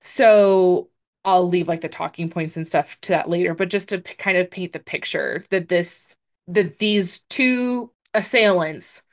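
A young adult woman with a deep voice for a woman, co-hosting a podcast in chatty casual talk full of fillers. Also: measured pace. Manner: chatty, hedging with many fillers. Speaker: podcast co-host. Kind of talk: casual chat.

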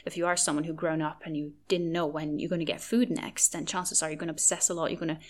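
A young woman hosts her own podcast in a slightly low voice. She speaks in earnest and reassuring tones and repeats her main points for emphasis. Now and then she drops to a soft near-whisper. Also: little pitch movement, quick pace. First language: Norwegian. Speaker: podcast host. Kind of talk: solo podcast advice talk.

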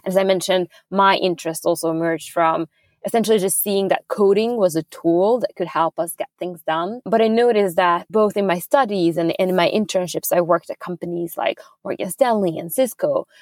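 A woman's voice: on the low side, normal speed, darkish tone, no echo, studio quality. A young woman from Hungary, a startup founder, answering interview questions in an earnest, fluent way with small restarts.

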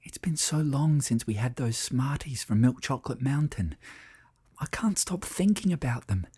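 A man voicing a restless young boy in a children's storybook, talking in a hushed whisper. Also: mid-range voice, animated, normal speed, husky, pitched above the performer's own voice. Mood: hushed, longing.

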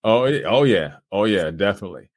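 A man, a guest on a podcast, talking casually about himself with many pauses. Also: lowish voice, no room sound, studio quality. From Trenton, New Jersey. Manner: relaxed, many fillers and uhs. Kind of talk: casual interview talk.

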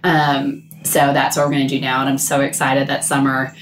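Loud booming woman, echoey room. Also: completely flat delivery, moderate pace, slight background noise. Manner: enthusiastic, many fillers and restarts. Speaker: podcast co-host. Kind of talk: casual chat.

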